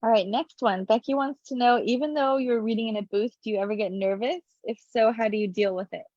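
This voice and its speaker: A brisk woman moderator reading audience questions in a fairly high voice. The audio is dull and lo-fi, as if from a call, and sounds dry with no room echo. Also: darkish tone.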